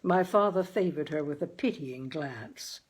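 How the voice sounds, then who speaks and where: A woman hosting and reading literary fiction aloud. Her voice is husky, and her pitch moves widely as she narrates with expression. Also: lively intonation, a little slow. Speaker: reader, host.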